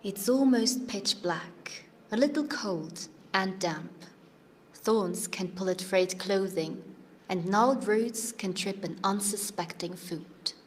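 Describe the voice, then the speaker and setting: A woman's voice: mid-range voice, slow pace, a little hiss or hum, natural voice. A woman playing an earnest, dramatic storyteller who narrates a fairytale audio drama and is easily irritated. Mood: ominous. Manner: dramatic, evocative.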